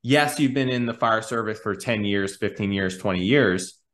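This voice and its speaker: A man's voice: on the low side, moderate pace, fairly bright tone. A man in his thirties hosting a conversational podcast on a video call, explaining his points with emphasis and rephrasing as he goes. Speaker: podcast host, fitness coach.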